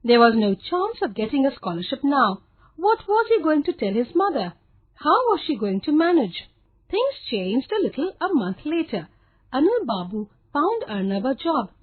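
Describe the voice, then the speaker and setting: A woman's voice: high voice, sing-song, normal speed. A woman narrating a scripted story for children as a storyteller. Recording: phone-like narrow, lo-fi sound.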